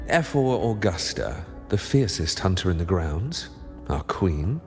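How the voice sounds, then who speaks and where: A man voicing a shrewd, guarded gladiator in a video game, speaking in a deliberate, formal way. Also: low-pitched, sing-song, long reverb tail, faint music behind, full character voice. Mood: wary, solemn.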